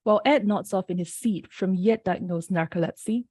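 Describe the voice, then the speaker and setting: Singaporean poet reading, dark and dry. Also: female voice, medium pitch, slightly rough, no echo. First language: Mandarin. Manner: measured, unhurried reading with brief spoken introductions. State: slightly off-sounding early-morning voice. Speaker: poet reading her work. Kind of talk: poetry reading.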